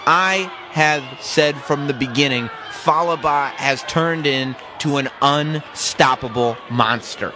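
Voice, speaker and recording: A man calling a wrestling match as a color commentator, excitable and often shouting for emphasis. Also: fairly high pitch, slow, few pauses, a crowd in the background, background noise. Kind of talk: live play-by-play commentary.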